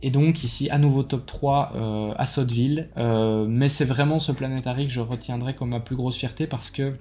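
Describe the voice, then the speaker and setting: A Belgian man talks casually to his viewers in a mellow, warm voice, pitched in the middle for a man. He sounds tired and rambles, with many hesitations and restarts, while he heaps enthusiastic thanks on people. Faint music plays behind him. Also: fairly even intonation, normal speed. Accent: Belgian French. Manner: rambling, full of fillers and restarts, enthusiastic praise. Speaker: card game YouTuber. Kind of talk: casual spoken debrief to viewers.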